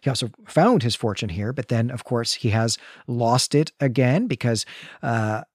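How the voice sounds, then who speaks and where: A man's voice: medium pitch, dry recording. Articulate man co-hosting, dry close sound. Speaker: podcast co-host. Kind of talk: conversational literary commentary and plot recap.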